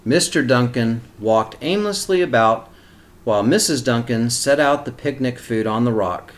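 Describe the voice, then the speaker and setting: A man's voice: mid-range voice, normal speed. A man reading a picture book aloud expressively and explaining it to young children as a teacher. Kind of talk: animated children's storybook read-aloud with asides.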